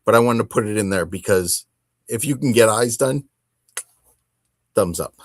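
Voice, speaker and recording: A man's voice: lowish voice, moderate pace, dry recording, studio quality. A middle-aged man hosting a casual solo hobby podcast, talking in an informal, rambling way with emphatic turns of phrase. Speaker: podcast host.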